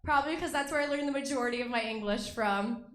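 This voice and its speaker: A woman's voice: on the high side, fast, roomy sound, background noise. A young woman from Russia doing a stand-up comedy set, bubbly and self-mocking, laughing between her jokes. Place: comedy club stage.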